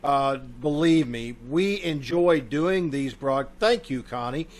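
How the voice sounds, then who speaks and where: A man's voice: medium pitch, slow. A man giving a casual spoken introduction and announcements as host of a community radio theater show, speaking over a video call.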